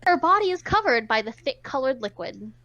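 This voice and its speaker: Female host for dramatic story reading with irreverent asides; high, lively pitch, slightly dull sound. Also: brisk. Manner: sarcastic, irreverent. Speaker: host reading a visual novel aloud. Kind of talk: dramatic read-aloud with casual joking asides.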